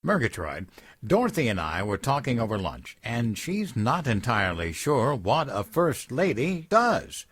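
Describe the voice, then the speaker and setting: A man's voice: mid-range voice, big pitch swings, measured pace, a bit bright, breathy edge, dry recording, exaggerated voice. An actor playing a genial, oblivious president-elect, courtly and pompous, doting on his wife. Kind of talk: comic audio drama acting.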